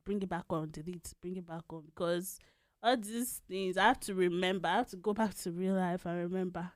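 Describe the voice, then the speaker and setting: A woman's voice: fairly low pitch, fairly even intonation, fairly quick, dry recording, clean studio sound. A woman in her twenties, co-hosting a podcast in casual chat, sometimes slipping into a girlish baby voice and laughing often. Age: 20s. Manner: chatty, laughs often, trails off and restarts. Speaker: podcast co-host.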